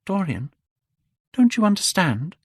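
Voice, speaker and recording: A man's voice: sing-song, measured pace, breathy edge, no echo, exaggerated voice. A man voicing a tender, desperate young woman who is a stage actress, in a dry audiobook recording. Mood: plaintive. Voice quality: high, pleading.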